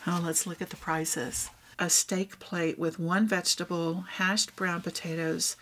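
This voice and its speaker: A woman with a Texan accent, showing and reading out old thrift finds in a casual, gentle way. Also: on the low side, a little hiss or hum. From Texas. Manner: reads old items aloud, softly remarking on them. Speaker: vlogger. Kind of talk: casual thrift haul show-and-tell.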